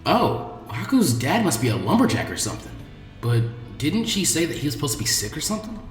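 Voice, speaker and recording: Man playing a brash, expressive boy ninja. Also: fairly high pitch, a little fast, roomy sound, big-room sound, faint music behind. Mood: curious, puzzled. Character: brash, impulsive boy ninja, openly emotional.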